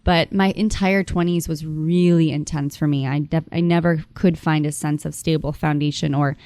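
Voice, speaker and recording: A woman of about thirty with a low, mellow voice, recorded close and dry with no room sound. She hosts a podcast and talks thoughtfully, rambling and filling pauses with fillers. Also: steady tone, no echo. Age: 30s. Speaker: podcast host. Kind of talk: casual conversation.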